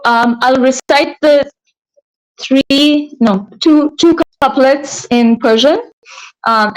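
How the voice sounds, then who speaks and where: Thoughtful, slightly high-pitched woman guest. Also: low-quality recording. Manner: thoughtful, searching for words, soft laughs. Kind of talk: reflective interview conversation.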